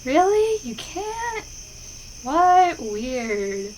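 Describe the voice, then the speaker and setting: High-voiced, shy young-love best friend, acted by a woman. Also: big pitch swings, darkish tone, heavily acted. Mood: shy, embarrassed. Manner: halting, bashful, stammering. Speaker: voice actor in an audio roleplay. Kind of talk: intimate ASMR roleplay acting. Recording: clear insect and outdoor ambience noise. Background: crickets and night ambience.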